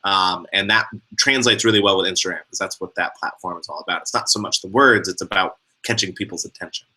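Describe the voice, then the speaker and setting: A young man co-hosting an online training, explaining things in a relaxed way and often starting with "uh". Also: low voice, fast talker, low-quality recording. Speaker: co-host of an online sales training. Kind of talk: casual instructional talk.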